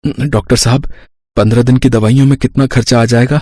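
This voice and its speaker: A man's voice: medium pitch, fairly even intonation, brisk, a little mellow, no room sound, natural voice. Man playing an earnest poor young villager. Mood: worried, pleading. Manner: pleading, earnest. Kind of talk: Hindi moral story cartoon dubbing.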